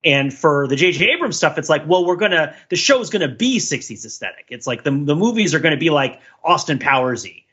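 A man with a bright, forceful voice talks through ideas as a podcast co-host. He is casual but analytical, wandering into asides and hedges as he goes. The audio is slightly dull and band-limited. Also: a little high, very animated, brisk, hardly any pauses, husky. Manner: discursive, thinking aloud, hedging with many asides. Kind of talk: casual panel discussion, analytical.

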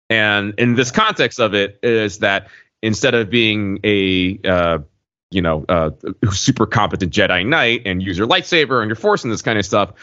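A man with a very deep voice talks casually as a podcast co-host. He explains in a wordy, hesitant way, wandering into asides. The sound is slightly dull. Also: a bit bright. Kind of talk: casual podcast chat, explaining video game slang. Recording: muffled audio in an echoey room.

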